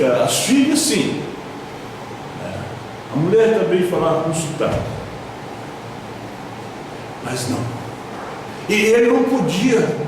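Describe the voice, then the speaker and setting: A Brazilian pastor with a southern Brazilian accent preaching a sermon in a church hall, with sudden loud emphasis and slowly drawn-out stresses. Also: male voice, high voice, noisy background. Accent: southern Brazilian Portuguese. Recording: echoey church hall from far off.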